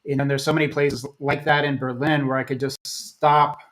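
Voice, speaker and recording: A man's voice: mid-range voice, level tone, moderate pace, a bit bright. An American man hosting an interview, rambling casually with restarts and fillers. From United States. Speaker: host.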